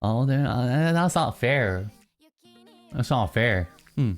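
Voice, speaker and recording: A streamer in casual live-stream chatter, teasing chat and answering in mock outrage between low, mumbled musings. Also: expressive, slow, soft background music. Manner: reacts to chat, teasing, mock-indignant bursts.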